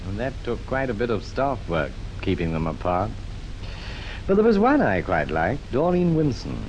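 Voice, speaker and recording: A man's voice: very animated, normal speed, a little mellow, highs cut off. An actor playing a cocky, charming conman officer in an old radio drama, talking in a breezy, boastful way. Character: cocky, charming male conman officer recalling his swindles. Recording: old radio recording, faint noisy rumble.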